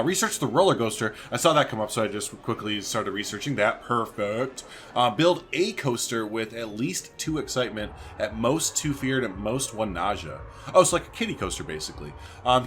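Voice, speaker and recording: A man's voice: mid-range voice, fairly bright tone, slight background noise. A man hosting a gaming video, giving casual, slangy let's play commentary and thinking aloud.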